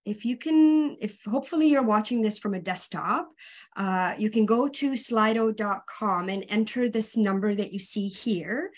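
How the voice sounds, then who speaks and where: A woman's voice: mid-range voice, normal speed. A woman from Romania whose first language is Hungarian, presenting a webinar over a video call in a conversational way with frequent ums. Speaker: guest speaker, public health consultant. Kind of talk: casual presentation.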